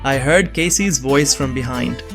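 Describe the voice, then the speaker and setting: A man's voice: medium pitch, measured pace, creaky, fairly bright tone, faint music behind. A young man playing a bewildered innocent framed as an assassin, narrating his own story in a scripted drama. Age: young adult. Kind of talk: first-person scripted narration with dialogue.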